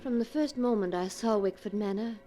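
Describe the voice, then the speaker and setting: An actress playing an uneasy, devoted young wife in a 1940s radio drama, speaking in an earnest, careful, dramatic way. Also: female voice, medium pitch, brisk, dark tone, faint music behind, close to an everyday voice. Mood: uneasy, foreboding.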